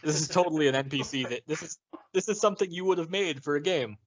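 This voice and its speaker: A man's voice: fairly high pitch, fast, dull audio, a little hiss or hum. A man bantering casually as a podcast co-host, with some free swearing. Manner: swears freely.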